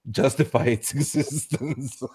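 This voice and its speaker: A man's voice: medium pitch, slow pace, darkish tone, slightly rough. A man in an unscripted conversation, making his case earnestly and building his points in lists. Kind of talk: casual debate conversation.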